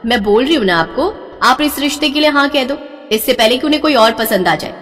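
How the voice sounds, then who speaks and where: A woman voicing a spoiled, excitable young daughter in a storybook, whiny, dramatic and gushing. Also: sits high, a little fast, in a large hall, pitched above the performer's own voice. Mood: eager, insistent. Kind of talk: melodramatic audio storybook acting.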